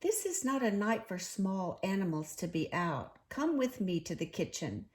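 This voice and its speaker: A woman voicing a big male badger in a children's storybook, gruff but kind-hearted. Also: mid-range voice, big pitch swings, normal speed, lightly acted. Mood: friendly, welcoming.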